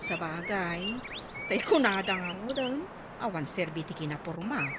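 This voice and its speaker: A woman's voice: on the low side, expressive, a little slow. A woman playing a gossipy, teasing young best friend with an Ilocano accent in a radio comedy drama, full of exclamations. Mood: amused, teasing. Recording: very noisy audio with bird sounds.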